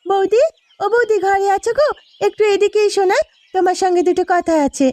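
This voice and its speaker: A woman's voice: very high voice, steady tone, brisk, darkish tone, dry recording. A woman voicing a poor farmer's caring wife in a cartoon, earnest, solicitous and pleading in manner. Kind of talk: Bengali cartoon voice acting.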